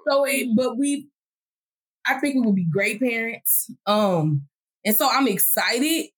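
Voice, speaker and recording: A woman's voice: a little high, slow pace, breathy edge, rough recording. A woman in her forties, a podcast guest, chatting casually and laughing as she tells stories. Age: 40s. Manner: animated, laughs while telling stories.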